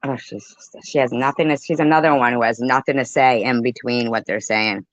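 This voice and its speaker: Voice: mid-range voice, fairly even intonation, fairly quick, no room sound. An indignant commentator giving off-the-cuff reactions, swearing and repeating phrases for emphasis. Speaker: commentator reacting to a played livestream clip. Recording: dull lo-fi sound.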